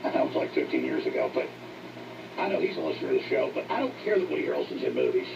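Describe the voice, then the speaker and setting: A man hosting a talk show, delivering impassioned, exhorting political monologues in a gravelly voice. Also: a bass voice, wildly swooping pitch, fast talker, far from the mic. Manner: fervent, exhorting. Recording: noisy, dull radio playback picked up from afar.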